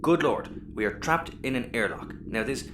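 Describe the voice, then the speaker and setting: A man's voice: mid-range voice, expressive, moderate pace, reverberant, noisy background, close to an everyday voice. Male actor as Irish rogue captain, conversational, exasperated, muttered asides, audio comedy. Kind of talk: sci-fi audio comedy acting.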